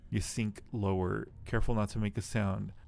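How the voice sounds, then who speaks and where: Guy streamer w a low croaky voice, reading game text n muttering casual comments, faint music behind. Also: flat delivery, moderate pace, darkish tone. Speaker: live streamer playing a game. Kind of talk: casual game commentary and read-aloud narration.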